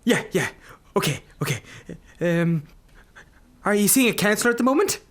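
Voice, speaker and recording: A man playing a bumbling, nervous GP, awkwardly over-reassuring, in a dark comedy radio play. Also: sits high, very animated, normal speed. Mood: flustered. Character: flustered, bumbling, nervous Irish GP.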